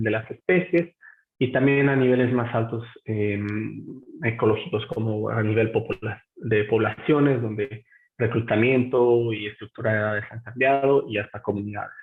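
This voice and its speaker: A man, a marine researcher, giving an online academic presentation from home in a measured, explanatory way, pausing to find his words. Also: mid-range voice. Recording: tinny phone-like webinar audio.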